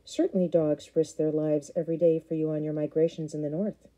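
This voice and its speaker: A man's voice: a little high, flat delivery, brisk, dark tone, lightly acted, poor audio. A woman voicing an insistent young male partisan who presses his point.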